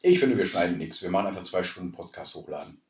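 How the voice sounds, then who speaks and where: A man's voice: sits low, expressive, quick pace, a crowd in the background. A man, chatting casually as a podcast co-host. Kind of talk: casual chat. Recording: echoey room, distant from mic.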